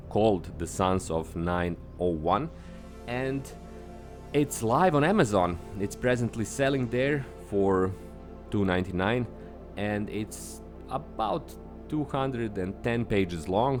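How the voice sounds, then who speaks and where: A lower-voiced man with a Serbian accent talks alone into the mic as a podcast host. He is upbeat and earnest, and he throws sudden high lifts onto the words he stresses. Soft music sits faintly under his voice. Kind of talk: solo podcast monologue.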